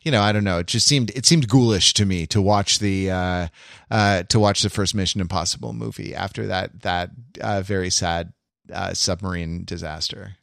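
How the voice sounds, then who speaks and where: A man hosting a casual discussion podcast, explaining things at length in a halting, backtracking, wry way. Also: low voice, fairly even intonation, vocal fry, a bit bright, no room sound, studio quality. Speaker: podcast host.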